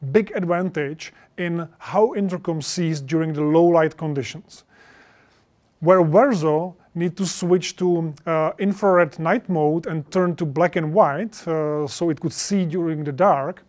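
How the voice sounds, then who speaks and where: A man's voice: on the high side, a little mellow. A man in his thirties presenting a product in an explanatory way, with non-native English phrasing, on a lapel mic. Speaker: product presenter for an intercom maker. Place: indoor studio stage with projection screen.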